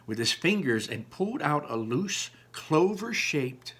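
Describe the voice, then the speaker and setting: Male read-aloud storyteller, bright forceful voice, expressive, drops into chatty explanations for young listeners. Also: on the high side, measured pace, slightly rough. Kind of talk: animated children's book read-aloud with chatty asides.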